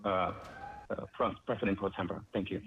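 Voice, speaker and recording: A man's voice: deep voice, steady tone. Man earnest caller, phone line. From El Salvador. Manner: earnest, repetitive, slightly halting. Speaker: public commenter calling in. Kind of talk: public comment speech. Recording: tinny phone line with faint noise.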